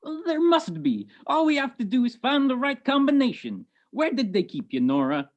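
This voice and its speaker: A woman's voice: high-pitched, big pitch swings, moderate pace. A woman playing a suspicious old male police sergeant who talks in needling tough-guy slang in a radio detective play. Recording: muffled video-call recording.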